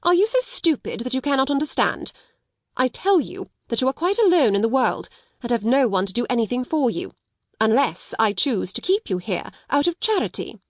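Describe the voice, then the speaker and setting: A woman's voice: a little high, expressive, moderate pace, no echo, clean studio sound, voice pushed up. A woman reading the part of a stern, domineering headmistress, speaking coldly and sharply. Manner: cold, sharp, scolding. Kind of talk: audiobook character reading.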